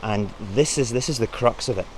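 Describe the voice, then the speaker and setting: A man's voice: medium pitch, fairly quick, a little mellow, slight background noise, poor audio. A male podcast host introducing a report in earnest, pleading commentary.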